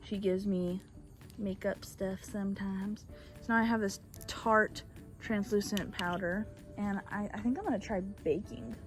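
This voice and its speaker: A woman's voice: medium pitch, steady tone, unhurried, soft background music. A young woman whose first language is Bulgarian, chatting casually and rambling through a makeup tutorial on a back porch, filmed on a phone. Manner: chatty, rambling, self-deprecating. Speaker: beauty vlogger. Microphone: phone camera.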